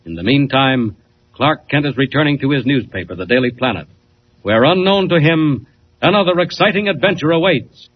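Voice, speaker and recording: A man's voice: mid-range voice, lively intonation, moderate pace, lightly acted. A man as a dramatic announcer narrating a 1940s radio serial in a theatrical style. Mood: suspenseful. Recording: dull old radio transcription, faint noise.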